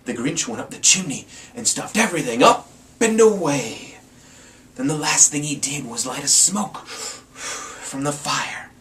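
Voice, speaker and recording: A man reads a rhyming story aloud with theatrical, playful emphasis. His pitch is highly animated, rising and dropping for drama. The mic is some distance away and picks up faint background noise. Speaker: amateur storyteller. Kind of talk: dramatic storybook reading in rhyme. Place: indoors, in front of a green screen.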